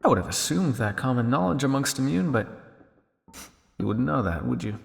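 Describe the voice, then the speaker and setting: A man playing a cynical, suave vampire scientist in an ASMR roleplay, teasing and condescending with theatrical, drawling pauses. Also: medium pitch, very animated, creaky, in a large hall, soft background music. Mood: probing, wry.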